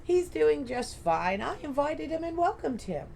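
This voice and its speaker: A woman's voice: high-pitched, sing-song, a little slow, creaky, a little mellow, slight background noise, voice pushed up, heavily acted. A woman voicing a distracted, kindly male frog-folk artificer, polite and a little fussy, in a tabletop role-play. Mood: gently teasing. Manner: polite, fussy shopkeeper talk.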